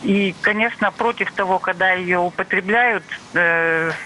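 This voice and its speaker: A woman's voice: mid-range voice, unhurried, bright, forceful tone. Earnest woman calling over a noisy line. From Yekaterinburg, Russia. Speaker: radio phone-in caller. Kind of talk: earnest opinion on a live call. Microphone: telephone. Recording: noisy phone line, vehicle sounds.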